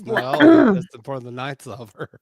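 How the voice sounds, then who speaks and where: A woman's voice: deep voice, wildly swooping pitch, normal speed, a little mellow. A woman co-reader chatting casually and joking between parts of a story reading.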